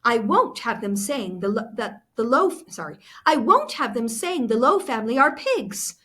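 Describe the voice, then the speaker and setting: A woman's voice: a little high, very animated, moderate pace, a bit bright. A woman reading the part of a proud, reassuring mother in a storybook, firm and confident.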